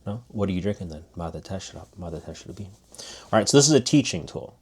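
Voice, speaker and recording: Casting: man with a low voice, teacher type, chatty and demonstrative, lots of restarts. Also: animated, a little fast, slightly rough. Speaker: language teacher. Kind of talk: casual spoken lesson.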